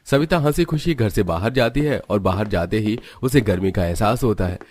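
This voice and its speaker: A man's voice: fairly low pitch, lively intonation, moderate pace, a little mellow, dry recording. A man reading scripted narration for a story as its narrator.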